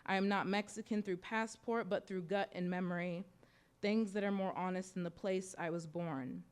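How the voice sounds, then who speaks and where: A young American woman reading her own personal essay aloud at a bookstore event, in a measured, reflective way. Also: medium pitch, clear, resonant tone. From United States. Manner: measured, reflective, softly expressive delivery. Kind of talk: literary reading of personal prose. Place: bookstore event in front of an audience.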